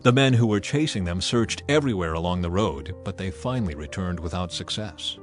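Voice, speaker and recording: A man's voice: deep voice, expressive, a little fast. Solemn male scripture narrator over music. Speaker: Bible narrator.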